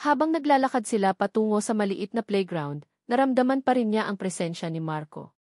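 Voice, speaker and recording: A woman's voice: mid-range voice, lively intonation, a little fast, darkish tone, no room sound, clean studio sound. A woman reading a scripted dramatic story as its narrator.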